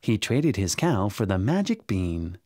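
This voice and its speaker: A man narrating a scripted children's story in an expressive, dramatic way. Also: lowish voice, unhurried, no echo, clean studio sound. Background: music.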